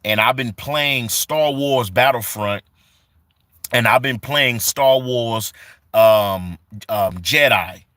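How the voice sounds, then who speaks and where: A man's voice: medium pitch, pushed and bright, no echo. A man in his forties on a casual live stream, reading viewers' comments aloud and greeting them in a loose, repetitive way full of fillers. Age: 40s.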